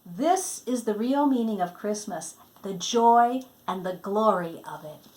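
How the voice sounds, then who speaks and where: Woman, storybook reader, unhurried pace, mid pitch, dramatic delivery, hushed phrase endings. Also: lively intonation. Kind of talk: expressive read-aloud storytelling of a Christmas book.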